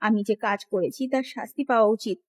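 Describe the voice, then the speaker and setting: A woman's voice: mid-range voice, fast talker, a little mellow, lightly acted. A woman voicing a remorseful young sister-in-law in a moral cartoon, speaking in an earnest, pleading way. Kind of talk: Bengali moral cartoon voice acting.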